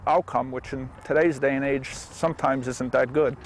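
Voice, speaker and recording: American male cop in a news interview, fairly high for a man, with a gravelly, creaky edge. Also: fairly even intonation, normal speed. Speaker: police officer.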